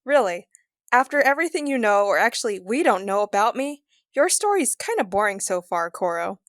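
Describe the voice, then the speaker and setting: A woman's voice: mid-range voice, very animated, brisk, dry recording, studio quality, natural voice. A woman playing a casual, teasing young space adventurer in an audio drama, informal and inquisitive in her delivery. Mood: curious, teasing.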